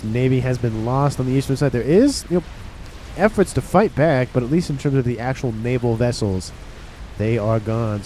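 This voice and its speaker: Male game caster, nasal from a cold, mellow laid-back play-by-play. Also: mid-range voice, a little hiss or hum. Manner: relaxed, rambling, talks to viewers. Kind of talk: casual play-by-play commentary.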